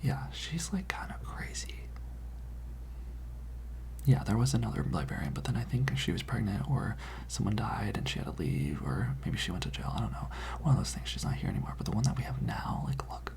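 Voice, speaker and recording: Gossipy teen student for ASMR roleplay, played by an adult: conspiratorial, rambling whisper with a creaky edge. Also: medium pitch, big pitch swings, a little fast, a little mellow. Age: teenage character. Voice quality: breathy whisper with creaky edges. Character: gossipy, dramatic high school student whispering about a librarian.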